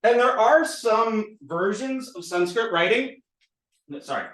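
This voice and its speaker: A man teaching at a board, animated and drilling sounds by repetition. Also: high voice, measured pace, echoey room, far from the mic, low-quality recording. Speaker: language teacher. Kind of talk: recorded board lesson. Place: indoor room with a board.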